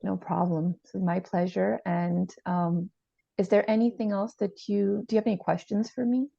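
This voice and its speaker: A woman playing a calm, supportive family therapist, speaking in a reassuring, slightly hesitant way in a role-played session. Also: mid-range voice, normal speed, creaky. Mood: friendly, professional. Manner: reassuring, hesitant, repeats gently. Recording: echoey room, slightly dull sound.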